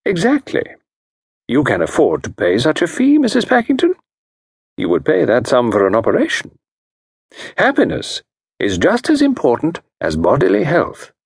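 A man voicing a calm, self-assured middle-aged consultant who speaks in a measured, soothing way. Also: fairly high pitch, vocal fry, breathy edge, no echo, clean studio sound, voice pushed up, heavily acted.

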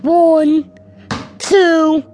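A woman's voice: extremely high pitch, level tone, very slow, warm tone, exaggerated voice. A woman playing a stubborn, whiny little child in a radio drama, with a singsong delivery. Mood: whiny, put out.

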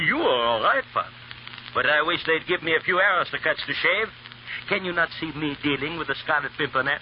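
An actor playing a chatty, jovial, bloodthirsty French barber with theatrical relish in an old radio drama, on a dull, hissy recording. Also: male voice, a little high, expressive, normal speed, lightly acted. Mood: boastful, chatty.

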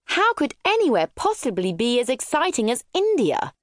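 Woman doing a high-pitched melodramatic young girl. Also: wildly swooping pitch, no room sound, clean studio sound. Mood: sulky. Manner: exclaiming, drawn-out, melodramatic. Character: excitable, dramatic young girl, easily bored and outraged.

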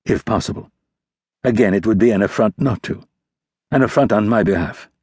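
A man voicing a grey-haired male military attaché who speaks slowly, carefully and formally. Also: fairly low pitch, dark tone, breathy edge, no echo, studio quality. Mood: guarded.

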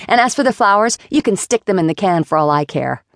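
A woman's voice: big pitch swings, dark tone, no room sound, clean studio sound. A woman playing a fiery, flustered young heroine. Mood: indignant. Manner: high-pitched, quick and exasperated.

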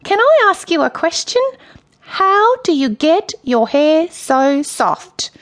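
A woman's voice: high voice, big pitch swings, slow pace, pitched above the performer's own voice, heavily acted. A woman voicing a nervous, over-polite young boy pufferfish in a children's story, fumbling and eager to please. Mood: nervous, ingratiating.

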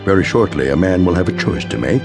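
A man narrating a radio drama in a measured, ominous storyteller's manner. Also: sits low, animated, over music. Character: ominous, all-knowing male narrator.

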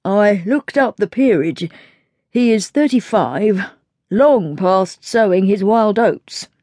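A woman narrator plays a storybook butler, a man used to running the household. His delivery is crisp and commanding at a middle pitch, in a dry recording. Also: animated, slow pace, mellow, no echo. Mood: composed. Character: brisk male butler in charge of servants.

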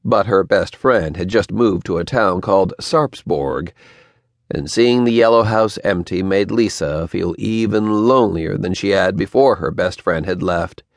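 A man narrating a children's story from a script, with expressive, deliberate storyteller phrasing and emphasis. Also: fairly low pitch, dry recording. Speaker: audiobook narrator.

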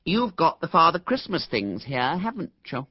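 A man's voice: lowish voice, huge pitch swings, normal speed, slightly rough, no room sound, dull audio, pitched above the performer's own voice, heavily acted. A man voicing an earnest, restless schoolboy ringleader in a story reading.

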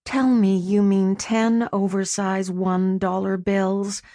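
A woman's voice: mid-range voice, little pitch movement, takes it slowly, dark tone, dry recording. A woman voicing an exasperated woman shop owner with a dry, sarcastic tongue.

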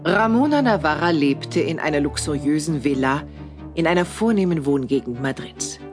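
A woman's voice: low-pitched, expressive, moderate pace. A woman narrating a scripted audio drama in a storytelling role. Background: music.

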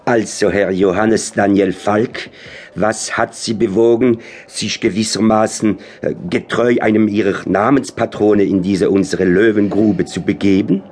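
A courtly general with a slight French accent. His voice is lowish and husky, his speech measured and unhurried, as in a radio drama. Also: steady tone, darkish tone. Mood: suave, probing. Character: courtly, composed short stout French general. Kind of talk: radio play acting.

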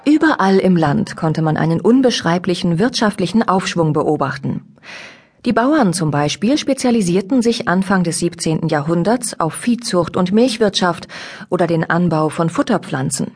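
A woman narrating a scripted children's audiobook in a measured, explanatory way. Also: lowish voice, animated, darkish tone, husky.

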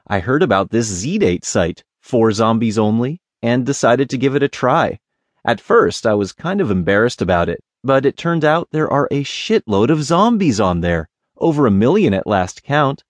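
Man playing a wry, low-voiced lonely zombie guy. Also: no echo, studio quality, natural voice. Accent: American. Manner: wry, casual, self-deprecating. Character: self-deprecating lonely male zombie, wry and conversational. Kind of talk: first-person audiobook character narration.

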